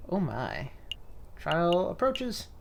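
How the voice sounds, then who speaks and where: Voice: mid-range voice, very animated, drawn-out words, vocal fry, slight background noise. A game player giving casual commentary while reading a story game aloud. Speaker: video game player and narrator. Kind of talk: casual let's play commentary.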